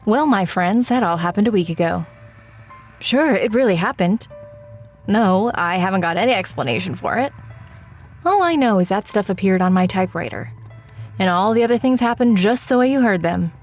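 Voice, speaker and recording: A woman's voice: mid-range voice, lively intonation, normal speed, soft background music. A woman acting in an audio drama as an anxious, hesitant writer who also tells the story herself. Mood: confiding. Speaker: voice actor playing the lead in an audio drama.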